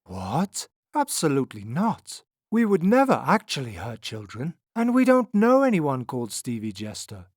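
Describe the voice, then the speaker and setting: A circus fortune teller woman from a storybook, performed by a man, defensive and emphatic. She speaks in a lifted, animated voice, close and dry. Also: fairly high pitch, moderate pace, hardly any pauses, slightly rough, no room sound, pitched above the performer's own voice. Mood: affronted.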